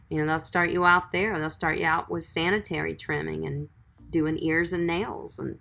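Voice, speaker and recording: A woman's voice: lowish voice, fairly bright tone. A woman dog groomer chatting on a livestream, reading viewer comments and giving friendly, encouraging advice. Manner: chatty, encouraging, calls viewers sweetie and guys.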